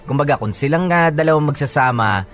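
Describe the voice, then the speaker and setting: A man's voice: on the high side, a little fast, a bit bright. A man with a Filipino accent co-hosting a radio show as a DJ, commenting in a casual, conversational and teasing way. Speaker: radio DJ and co-host. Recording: music bed behind voice.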